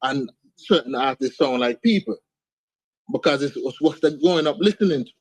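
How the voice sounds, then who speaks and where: A man's voice: medium pitch, animated, normal speed, slightly rough, no echo, rough recording. Laid-back Antiguan man, dry sound. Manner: laid-back, sprinkles speech with "man" and "you understand". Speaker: guest artist. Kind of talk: casual interview chat. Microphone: video call.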